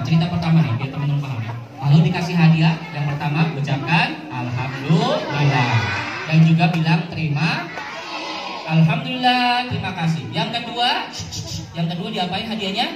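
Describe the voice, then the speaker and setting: A woman telling religious stories to children, animated and teacherly, with questions and call-and-response. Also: medium pitch. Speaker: children's storyteller. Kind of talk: interactive religious storytelling for children. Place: outdoor mosque yard event. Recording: echoey PA, distant mic, music and crowd.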